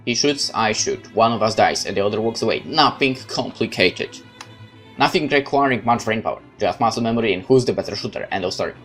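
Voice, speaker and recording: Man reading dark game narration. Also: on the low side, brisk, faint music behind. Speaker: let's play host narrating a visual novel. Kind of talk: dramatic reading of first-person narration.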